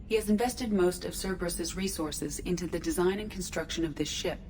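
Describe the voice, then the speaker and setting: A woman voicing a starship artificial intelligence in a video game, measured, precise and detached in manner. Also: lowish voice, lightly acted. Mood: calm, detached. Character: calm, formal female starship artificial intelligence. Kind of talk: scripted video game dialogue. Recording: clear background noise, ship ambience.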